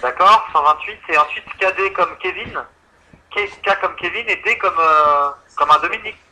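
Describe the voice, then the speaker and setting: A man's voice: sits high, moderate pace, sharp tone, slight background noise. A man working as an insurance phone agent, patiently and politely explaining things over a tinny telephone line. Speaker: insurance call-centre agent. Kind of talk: customer service phone call.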